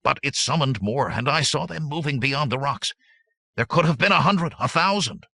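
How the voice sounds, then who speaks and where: A man's voice: medium pitch, sing-song, measured pace, bright, forceful tone, slightly rough, no echo, pitched above the performer's own voice, exaggerated voice. A man voicing a nervous, cowardly bandit tracker in an audiobook, protesting fearfully. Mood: fearful, fervent. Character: nervous, cowardly, pinch-faced male bandit tracker, defensive.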